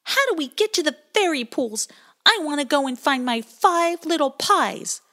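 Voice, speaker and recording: A small storybook hero with a very high, bright little voice, as a woman puts him on. He talks with big earnest swings of pitch, pleading and sometimes demanding. He is greedy at heart but anxious to make things right. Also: sing-song, normal speed, heavily acted.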